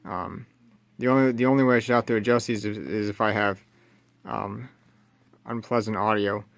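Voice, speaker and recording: A man's voice: on the low side, fairly even intonation, normal speed, vocal fry. A man giving casual hands-on repair narration, thinking aloud as he works at an indoor bench. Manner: thinking aloud while working. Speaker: radio repair hobbyist presenting. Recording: loud background noise and electrical hum. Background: loud noise, hum.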